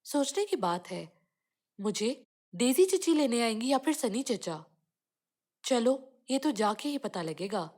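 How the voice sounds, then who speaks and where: A woman's voice: on the high side, sing-song, slow pace, a little mellow, heavily acted. A woman voicing a curious, stubborn young village boy in a children's cartoon story, with an insistent, questioning manner. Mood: eager, puzzled.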